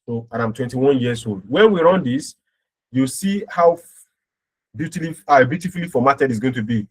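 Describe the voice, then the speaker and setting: A man's voice: mid-range voice, lively intonation, normal speed, a little mellow, low-quality recording. A man giving an informal step-by-step programming tutorial as an instructor, often checking in with short tag words as he explains.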